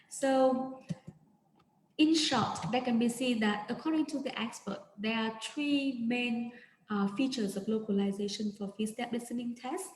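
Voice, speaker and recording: A woman's voice: on the high side, darkish tone. A woman from Vietnam, a native Vietnamese speaker, giving an academic conference presentation over slides on a video call, talking hesitantly with many fillers and restarts. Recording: echoey room, faint ambient noise.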